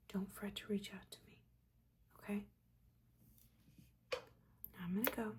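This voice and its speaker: A woman voicing a judgmental but caring witch mentor in a breathy, chatty, chiding whisper, in a soft-spoken ASMR roleplay. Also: medium pitch, very noisy, natural voice. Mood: gently instructive. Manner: chatty, chiding, casual slangy asides.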